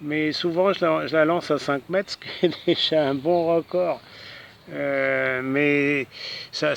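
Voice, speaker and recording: A man's voice: fairly high pitch, fairly bright tone. A man talking casually as an amateur radio operator, hesitating and often ending phrases with little tag words. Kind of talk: casual ham radio contact chat.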